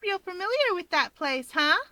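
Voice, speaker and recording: A woman's voice: very high-pitched, big pitch swings, normal speed, sharp tone, dry recording, voice pushed up, exaggerated voice. A woman voicing a brash, grinning young woman who teases playfully in an audiobook-style story. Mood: teasing. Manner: playful, teasing.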